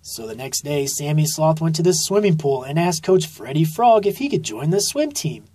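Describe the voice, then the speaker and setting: A woman narrating a children's story expressively and deliberately, drawing out key phrases, recorded in a studio. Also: low-pitched, very animated, warm tone. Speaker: author reading own children's book.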